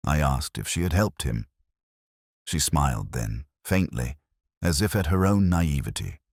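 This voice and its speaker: A man playing a brooding, world-weary police inspector, narrating a case in measured, solemn, literary first person. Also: a bass voice, expressive, no echo, studio quality, heavily acted.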